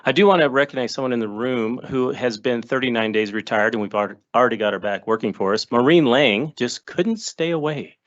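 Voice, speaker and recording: A man introducing new staff at a school board meeting, chatty and relaxed, with joking asides. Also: mid-range voice, fairly quick, few pauses, highs cut off. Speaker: school district administrator introducing new hires. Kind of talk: informal remarks at a public board meeting. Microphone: table microphone.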